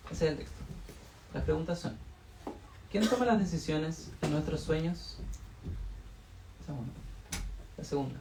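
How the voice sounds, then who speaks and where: A man moderating a book event, reading discussion questions to the audience in a relaxed, hesitant way with lots of fillers. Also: sits high. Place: bookshop event room. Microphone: distant room mic. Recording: echoey room, voice far from mic, faint noise.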